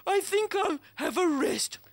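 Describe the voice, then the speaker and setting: Excitable high-pitched shaggy dog puppet. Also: female voice, measured pace, a little hiss or hum, heavily acted. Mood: theatrical dismay. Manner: exclaiming, theatrical, playful. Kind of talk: children's puppet show dialogue.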